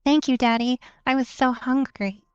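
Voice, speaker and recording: A woman's voice: sits high, steady tone, moderate pace, slight background noise, lightly acted. A woman playing a meek, hungry young vampire daughter in an audio play, childlike and plaintive, on a dull lo-fi recording. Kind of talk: dramatic audio theatre acting.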